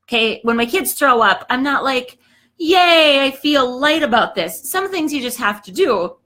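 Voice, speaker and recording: A woman's voice: sits high, animated, a little slow, bright, forceful tone. Chatty woman giving a motivational talk. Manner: chatty and emphatic, says "okay" a lot. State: slightly hoarse, clearing throat. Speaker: host of a live talk show.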